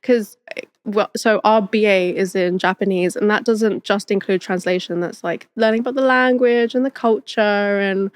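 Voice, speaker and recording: A young woman hosts a casual podcast chat and guides the talk with easy questions. She laughs often and reacts quickly to her guest. Her pitch is middling, and the recording is dry and close. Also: steady tone, clear, resonant tone, dry recording. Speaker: podcast host. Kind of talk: casual conversational interview.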